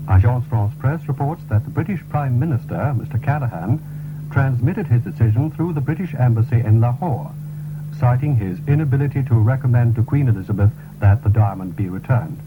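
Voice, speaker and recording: A male newsreader delivers a formal radio bulletin in a measured way, at an ordinary male pitch. The sound is thin and narrow, like an old 1970s broadcast heard through a small radio. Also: lively intonation, over loud music. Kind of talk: formal scripted news bulletin.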